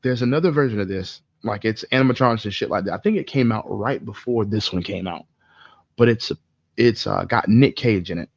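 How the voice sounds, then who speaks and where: Low, gravelly man, casual and emphatic. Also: a little fast, no room sound, highs cut off. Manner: opinionated, emphatic, swears casually. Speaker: podcast co-host. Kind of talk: casual chat about video game movies.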